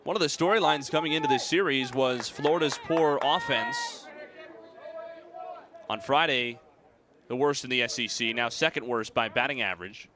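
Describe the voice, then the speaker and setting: A young American man calling baseball play-by-play in a measured, articulate way. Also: medium pitch, background noise. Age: young adult. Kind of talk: sports play-by-play commentary.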